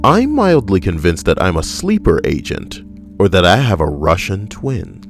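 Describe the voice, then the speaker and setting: Man, very low bass voice, deadpan, wry, rambling, podcast co-host, faint background music. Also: normal speed. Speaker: podcast co-host reading internet stories. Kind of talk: casual joking commentary.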